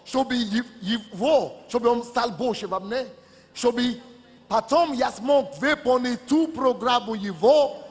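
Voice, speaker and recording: A man's voice: very high-pitched, unhurried. A male pastor preaching a sermon with impassioned, emphatic declarations. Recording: long church hall reverb with music.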